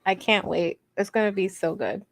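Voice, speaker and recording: A woman with a soft voice, hosting a casual craft livestream in a rambling, playful, self-deprecating way as she answers viewer comments. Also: medium pitch, moderate pace, no echo. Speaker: host of a crochet livestream.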